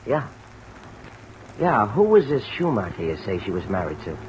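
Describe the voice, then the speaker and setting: An actor playing a shifty, guarded small-town tough in an old-time radio drama, probing and evasive in slangy talk. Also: male voice, lowish voice, very animated, normal speed, a little mellow, low-quality recording. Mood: guarded, nosy. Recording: old radio recording under rain noise.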